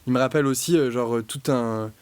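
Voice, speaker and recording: A young French man, a guest musician, talking casually and reflectively, with many pauses, fillers and restarts. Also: medium pitch, a little mellow, clear, resonant tone, no echo. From France. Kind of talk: casual podcast conversation.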